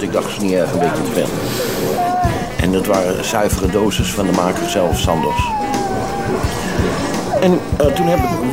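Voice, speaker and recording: An older man tells a personal story reflectively, in a halting, deliberate way with pauses. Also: fairly low pitch. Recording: loud music laid over speech.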